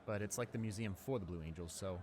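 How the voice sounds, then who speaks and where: A man playing a friendly, awkward, talkative high school student in a casual audio roleplay, speaking in a hesitant, rambling, informal way. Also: fast, a crowd in the background, close to an everyday voice. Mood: chatty, enthusiastic.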